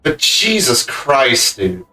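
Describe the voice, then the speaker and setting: A man's voice: medium pitch, sing-song, slow pace, bright, forceful tone, faint music behind, low-quality recording. A man commentating casually on a game livestream, swearing freely in blunt, slangy reactions. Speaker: game streamer.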